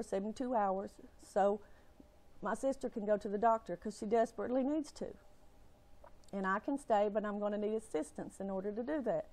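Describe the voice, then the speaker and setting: A woman's voice: mid-range voice, a little mellow. Upset, shaky-voiced Southern US woman. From Alabama, United States. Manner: exasperated, emphatic, folksy. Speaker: family caregiver. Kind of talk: impassioned rant to camera.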